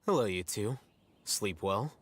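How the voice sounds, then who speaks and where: A man voicing a calm, aloof young scholar in an anime game, measured and matter-of-fact. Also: fairly low pitch. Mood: calm, businesslike. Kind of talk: anime game character dialogue. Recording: game music behind the voice.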